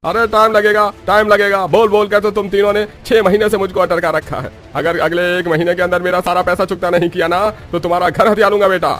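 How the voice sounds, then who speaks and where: A man's voice: high-pitched, fairly even intonation, fairly quick, few pauses, fairly bright tone. A man playing a rude, grumpy street trader and moneylender in a cartoon story, with a brusque, scolding manner. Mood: angry, threatening. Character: grumpy, rude male vegetable seller and bullying moneylender. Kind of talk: Hindi cartoon story acting. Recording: music behind the voice.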